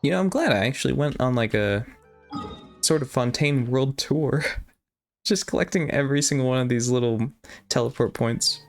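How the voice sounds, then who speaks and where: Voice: mid-range voice, moderate pace. Casual streamer with music behind. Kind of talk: casual game stream commentary.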